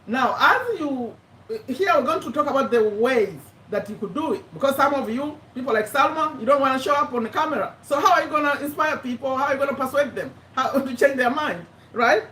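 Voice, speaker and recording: High-voiced woman in her fifties. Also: lively intonation, fairly quick, a bit bright, slight background noise. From Uganda. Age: 50s. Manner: chatty, self-correcting, laughs often. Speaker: YouTube live host, business owner. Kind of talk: casual live-stream talk. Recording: echoey room, mic at a distance, faint ambience.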